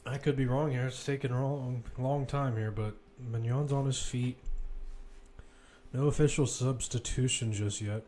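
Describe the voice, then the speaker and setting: A man's voice: medium pitch, fairly even intonation, measured pace, warm tone. A man giving live, informal football play-by-play, chatting and thinking aloud as he follows the action. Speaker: play-by-play commentator. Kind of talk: live football commentary, casual and conversational.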